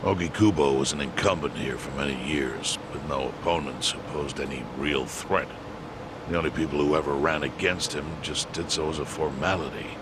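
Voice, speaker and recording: Very deep, grave gang chairman. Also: background noise. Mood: measured doubt. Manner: dry, deliberate, formal. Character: grave, measured, pragmatic yakuza chairman, older man. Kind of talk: video game English dub acting.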